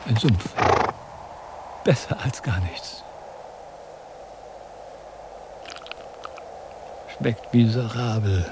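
An older actor playing a vain, grumbling old wandering philosopher in a radio drama, declaiming theatrically and questioning himself. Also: male voice, on the low side, slow pace. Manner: declamatory, self-questioning, cantankerous. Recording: noisy 1970s radio drama with sound effects.

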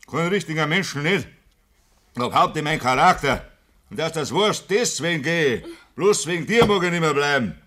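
An actor playing a proud, blunt young farmhand with a Bavarian accent in a dialect radio play. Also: male voice, a little high, lively intonation, brisk, sharp tone. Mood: irritated, scornful. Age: young adult.

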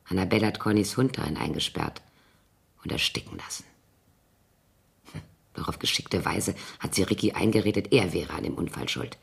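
Older woman, low creaky husky voice, tipsy scheming ex-nanny, theatrical halting delivery, radio crime drama. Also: exaggerated voice. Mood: grim, secretive. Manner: brooding, halting, theatrical delivery dropping into whispers. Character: tipsy, scheming old former nanny writing a confession.

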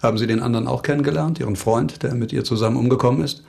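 An actor playing a wry, probing former doctor who works as a police investigator in a radio crime drama, dry and commanding. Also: male voice, mid-range voice, rapid-fire, creaky, a little mellow. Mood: brisk, probing.

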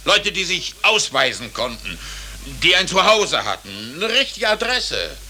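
An actor playing an officious, condescending, brusque consul in a 1940s German radio drama. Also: male voice, high voice, wildly swooping pitch, a little slow, bright, forceful tone, heavily acted. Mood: brusque, dismissive. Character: officious, dismissive American consul, condescending and evasive. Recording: hissy old radio drama recording.

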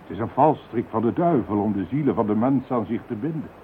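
Male actor as a stubborn, devout man, low-pitched, solemn sermon-like delivery; lo-fi tinny recording. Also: animated, a little fast, slight background noise, lightly acted. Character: devout frightened grieving brother, middle-aged man. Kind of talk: radio play acting. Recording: tinny lo-fi old radio recording.

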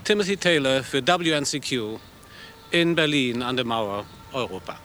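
An actor playing an earnest American radio reporter, polite and a little hesitant, stammering now and then. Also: male voice, mid-range voice, lively intonation, moderate pace, background noise, close to an everyday voice. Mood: wry. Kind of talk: 1980s radio play acting.

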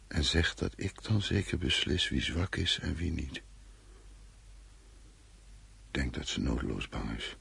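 Moody husband in a radio play, super low, croaky, remembering a dream out loud. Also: male voice, dry recording, exaggerated voice. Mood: detached. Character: brooding husband recounting a dream of his fearful wife. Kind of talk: radio drama monologue.

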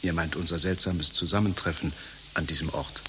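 An actor playing a lovesick, melancholy gentleman fugitive in a German radio play, declaiming poetically and hesitantly. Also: deep voice, steady tone, fairly quick, background noise, natural voice. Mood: rapturous, mournful.

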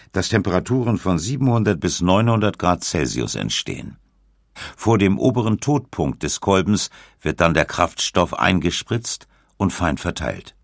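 A male narrator with a low voice reads documentary script in a measured, precise way. His intonation moves widely and lively, and the tone has a clear gravelly creak. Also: no room sound, studio quality.

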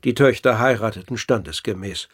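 An actor playing a courteous, inquisitive writer who narrates a radio play and talks with the dead in a measured, polite way. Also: male voice, lowish voice, breathy edge. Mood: measured narration.

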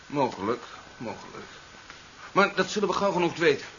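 An actor playing a pragmatic doctor in a 1970s radio drama, placating and repeating himself. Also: male voice, a little high, very animated, moderate pace, a bit bright. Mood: matter-of-fact. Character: brisk, soothing doctor, coolly pragmatic scientist. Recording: dull, hissy 1970s radio recording.

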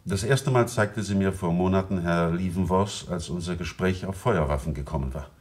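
A man's voice: deep voice, normal speed, natural voice. A man playing a reserved witness in a German radio crime drama, speaking formally and hesitantly as he testifies. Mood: careful, reserved. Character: reserved, careful male witness giving testimony.

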